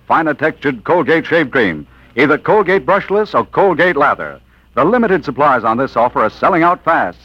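A man's voice: on the high side, no echo. An American man announcing a 1940s radio commercial with polished, emphatic salesmanship. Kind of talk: 1940s radio advertising read. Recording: dull vintage radio broadcast.

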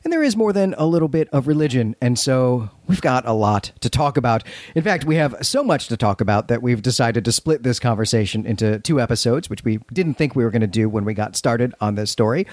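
A man's voice: mid-range voice, lively intonation, a little fast, a little mellow, dry recording. Dry, close-sounding man co-hosting a podcast. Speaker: podcast co-host. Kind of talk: casual podcast talk.

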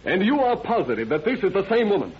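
A man's voice: sits high, sing-song, quick pace. A man playing a pressing, formal defense attorney in an old-time radio drama. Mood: insistent, challenging. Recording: muffled vintage radio recording.

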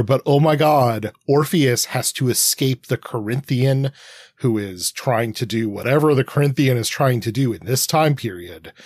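A man's voice: medium pitch, husky, dry recording. A man co-hosting a podcast discussion, talking as a keen, enthusiastic fan. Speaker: podcast co-host. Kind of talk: podcast discussion with prepared remarks.

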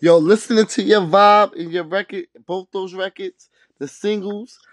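Slangy man hosting a hip-hop interview, higher-pitched. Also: fairly even intonation, moderate pace, dry recording. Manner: slangy, repeats words and phrases. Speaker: podcast host interviewer.

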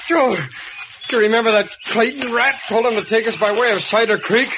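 A man's voice: extremely high pitch, normal speed. An actor playing a blunt, urgent young man in peril in a 1940s radio drama. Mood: bitter, angry. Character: young man trapped in quicksand, bitter but steadying. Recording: dull old radio recording, loud background noise.

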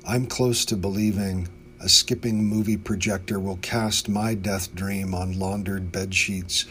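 An American man, a poet, reading in a measured, literary way. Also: low-pitched, fairly even intonation, a bit bright. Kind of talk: poetry reading. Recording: faint background noise.

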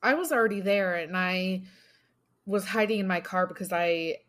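A woman's voice: on the low side, moderate pace, a bit bright. Young woman, playful, joking, casual podcast co-host. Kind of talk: casual chat.

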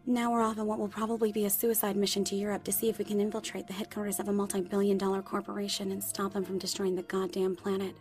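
A woman's voice: mid-range voice, flat delivery, quick pace, few pauses, a little mellow, natural voice. A woman playing a young, wry and determined female ex-police operative and former thief in a dramatic audiobook, in a sardonic inner monologue. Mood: bitter, resolute. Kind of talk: dramatic audiobook character acting. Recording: music under the voice. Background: music.

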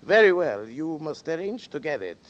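A man's voice: fairly high pitch, very animated, moderate pace, slight background noise. An actor playing a smug, mock-polite male blackmailer in a 1940s radio drama, taunting and theatrical. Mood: scornful. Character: sly, mocking male blackmailer, scornful and smug. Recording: dull 1940s radio broadcast.

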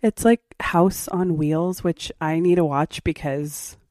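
Teasing woman cohost, laughs a lot, lowish voice, dry mic. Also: steady tone, takes it slowly, darkish tone, no echo. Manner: playful, teasing, laughs often. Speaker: podcast co-host. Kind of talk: casual chat.

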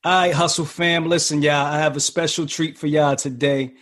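A man hosting an interview podcast, talking in a relaxed, casual way with lots of fillers and restarts. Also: a little high, moderate pace, music in the background. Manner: laid-back, many fillers and restarts. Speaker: podcast host.

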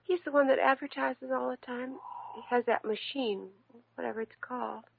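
Radio play: a worried patient, husky and gravelly, tinny sound. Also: female voice, high voice, steady tone, moderate pace, heavily acted. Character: worried middle-aged woman patient. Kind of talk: 1940s radio acting. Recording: tinny old radio recording.

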